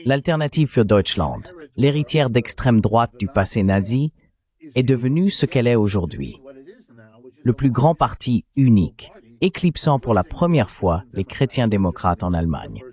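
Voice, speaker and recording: A man's synthetic dubbing voice reading political commentary in a measured, articulate way. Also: mid-range voice, big pitch swings, darkish tone. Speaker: voice-over translator for a panelist.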